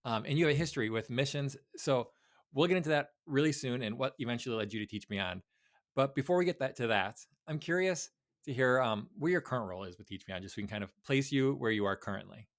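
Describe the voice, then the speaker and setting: A man's voice: mid-range voice, animated, fast. A man hosting a podcast, welcoming the guest and setting up questions in an upbeat way. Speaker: podcast host. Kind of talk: podcast interview.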